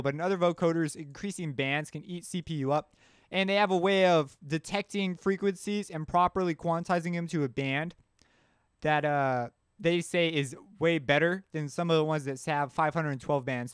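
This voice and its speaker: Clear, higher-voiced man thinking aloud. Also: no room sound, studio quality. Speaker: tutorial host. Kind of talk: casual software tutorial narration. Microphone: close mic screencast voice-over.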